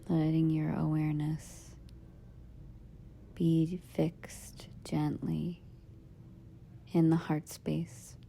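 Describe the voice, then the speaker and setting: A woman guiding a meditation as a yoga nidra teacher, in a soft, breathy, husky half-whisper, giving gentle, soothing instructions in short phrases with pauses. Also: sits low, monotone, slight background noise. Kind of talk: guided meditation.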